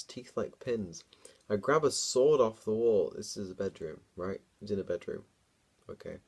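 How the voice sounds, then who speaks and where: A man hosting a dramatic story reading, mixed with amused, informal comic commentary. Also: fairly low pitch. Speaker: host reading his own childhood story.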